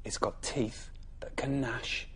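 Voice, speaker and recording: A man with an English accent voicing a frightened little boy hiding under his bed, hushed and secretive, in a storybook reading. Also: medium pitch, steady tone, slow, husky, whispered, heavily acted. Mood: fearful whisper.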